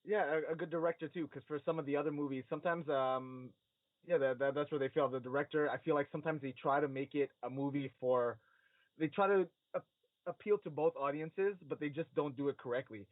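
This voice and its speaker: A man in a casual podcast discussion, feeling his way through his thoughts in a hesitant, halting way. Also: fairly high pitch, no echo. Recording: narrow phone-like band, dry.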